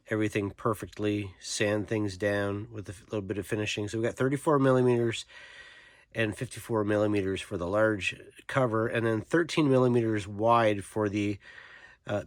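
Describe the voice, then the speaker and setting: A man's voice: on the low side, no room sound. A man giving a casual hands-on how-to narration, informally thinking aloud as he works. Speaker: host of a toy restoration tutorial.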